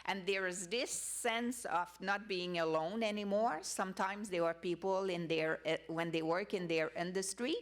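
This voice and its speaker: A woman speaks as a conference panelist in a slightly low voice. She explains things conversationally, thinking as she goes rather than reading. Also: moderate pace, few pauses. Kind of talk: unscripted panel answer.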